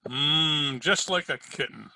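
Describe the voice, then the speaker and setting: Very bright-voiced wisecracking private eye acted by a man. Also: on the high side, lively intonation, takes it slowly, creaky, exaggerated voice, poor audio. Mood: casual, probing. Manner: wry, slangy, unflappable. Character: wisecracking, unhurried male hardboiled private detective. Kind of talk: old-time radio detective acting and narration. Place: home, recorded over a video call.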